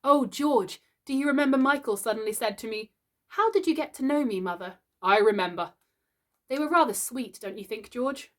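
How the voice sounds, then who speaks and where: A woman voicing a placid, gentle mother who speaks softly. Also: fairly high pitch, normal speed, voice pushed up, lightly acted. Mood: wistful. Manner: placid, soft-spoken.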